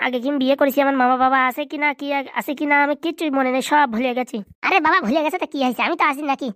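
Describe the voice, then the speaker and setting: A man voicing an excitable, cheeky young male cartoon buddy, with slangy bantering delivery and a pitched-up effect. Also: racing, no room sound, studio quality. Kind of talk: comedy cartoon dubbing in colloquial rural dialect.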